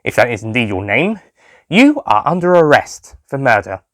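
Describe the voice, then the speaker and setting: A man's voice: medium pitch, very animated, normal speed, a bit bright, dry recording, clean studio sound. Man playing an earnest, bemused police detective. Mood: stern.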